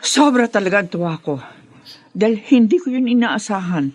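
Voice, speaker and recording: A woman's voice: mid-range voice, expressive, moderate pace, a little mellow, no echo, lightly acted. A woman playing a worried, reproachful mother in a radio drama, speaking earnestly with a Filipino accent. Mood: anxious, resigned. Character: worried, reproachful mother weary of false hope. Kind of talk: Tagalog radio drama acting.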